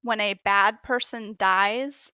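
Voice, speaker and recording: A woman's voice: medium pitch, steady tone, slow, pushed and bright, smooth, no echo. A woman in her thirties or forties, a podcast co-host chatting casually and animatedly about books she has read. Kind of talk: casual book chat.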